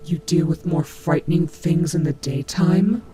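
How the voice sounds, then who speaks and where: A woman's voice: sits low, warm tone, a little hiss or hum. A woman voicing a menacing, taunting nightmare animatronic rabbit in a scripted audio roleplay, with a mocking, theatrical delivery. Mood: flustered, incredulous. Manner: slow, mocking, theatrical drawl with whispered asides.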